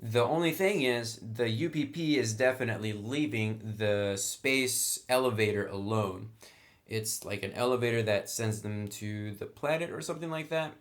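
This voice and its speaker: A man giving a casual, explanatory review as a tabletop game reviewer, with some enthusiasm. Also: on the low side. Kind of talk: casual product review talk.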